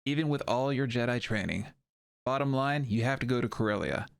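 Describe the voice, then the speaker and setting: A man acts a lovelorn but easygoing doctor in a sci-fi audio drama. His voice is mid-pitched and dryly recorded, with a frank, familiar way of speaking. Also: a little fast, creaky, smooth, dry recording, studio quality, natural voice.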